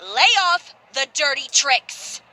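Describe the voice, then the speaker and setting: A woman playing a proud, blunt, cool-headed young boutique owner in a video game, crisp, sardonic and self-assured. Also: high-pitched, lively intonation, slow pace, sharp tone, slight background noise, heavily acted. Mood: cold menace.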